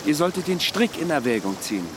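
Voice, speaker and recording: An actor playing a world-weary immortal former soldier in a radio drama, speaking with dry courtesy and archaic wording. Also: male voice, a little high, big pitch swings, fairly quick, noisy background. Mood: knowing, wry. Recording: music and watery ambience behind voice.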